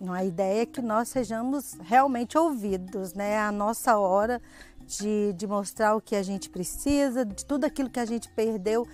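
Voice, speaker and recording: A woman's voice: mid-range voice, moderate pace, darkish tone, faint music behind. A Brazilian woman giving interview testimony as a member of her community.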